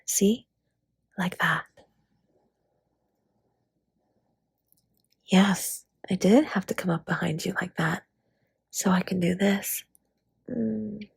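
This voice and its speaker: A woman playing a flirty, affectionate dragon baker spouse in a romantic roleplay audio, with a breathy, husky, teasing delivery. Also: fairly low pitch, a little mellow, dry recording, exaggerated voice. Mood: flirty, intimate. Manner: teasing, intimate, often slipping into breathy whispers.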